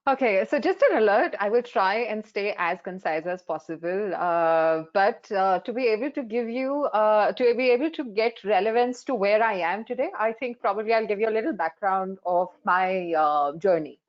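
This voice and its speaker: A woman's voice: mid-range voice, lively intonation, normal speed, hardly any pauses, muffled recording. A woman in her forties from India, whose first language is Hindi, telling her career story frankly, with many fillers, on a webinar panel. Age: 40s. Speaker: life coach. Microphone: video call.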